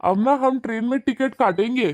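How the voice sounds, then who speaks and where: A man's voice: very high-pitched, moderate pace, a little mellow, dry recording. A man voicing a humble, hardworking young male newspaper seller in a cartoon, talking politely and deferentially. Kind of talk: cartoon voice acting.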